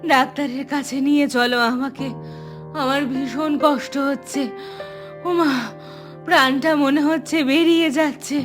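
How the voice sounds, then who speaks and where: A woman's voice: high-pitched, fairly even intonation, a little slow, pauses often. A woman voicing an ailing older mother-in-law in a cartoon, breathless, suffering and pleading. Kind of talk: dramatic cartoon voice acting. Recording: music behind the voice.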